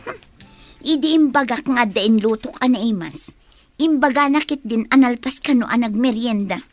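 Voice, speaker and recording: A woman's voice: a little high, normal speed, a little hiss or hum, close to an everyday voice. An actress playing a scheming young office woman who leans on her mother, in an Ilocano radio drama. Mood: whining.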